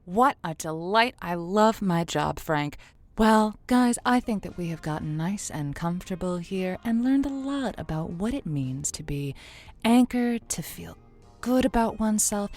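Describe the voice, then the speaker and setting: A woman playing a gushing late-night radio advice host who gives halting, theatrical pep talks in an audio drama. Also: mid-range voice, darkish tone, lightly acted. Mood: fond sign-off. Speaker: radio call-in show host.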